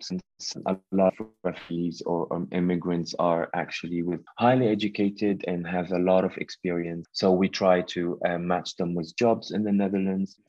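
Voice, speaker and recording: A man from Syria in his thirties, speaking as a podcast guest with a very low voice. He talks hesitantly, restarting phrases and filling pauses. The sound is dry and somewhat muffled, a lo-fi recording. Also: little pitch movement, darkish tone, no room sound. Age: 30s. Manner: hesitant, many fillers and restarts. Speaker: founder of a refugee job platform, guest. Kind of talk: conversational podcast interview.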